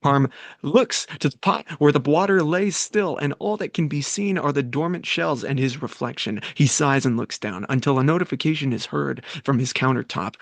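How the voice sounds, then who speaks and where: A man's voice: mid-range voice, dry recording. A man reading stage directions as scripted narration at a table read.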